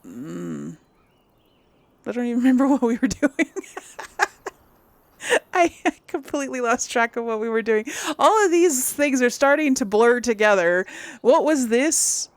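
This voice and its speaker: A woman talks her way through a video game, thinking aloud in a chatty ramble. Her pace is unhurried, while her pitch moves around a lot. She sounds easily amused and casual. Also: animated, no room sound. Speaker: gameplay host.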